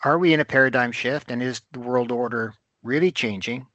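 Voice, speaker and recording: A man hosting an interview podcast, speaking haltingly with many pauses and fillers. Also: mid-range voice, fairly bright tone, no room sound, dull audio. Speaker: host.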